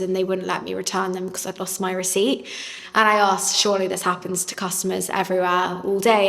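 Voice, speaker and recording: A woman founder speaking on a panel, with a naturally loud voice and a candid, self-deprecating manner. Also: medium pitch, vocal fry, big-room sound. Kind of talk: panel discussion talk.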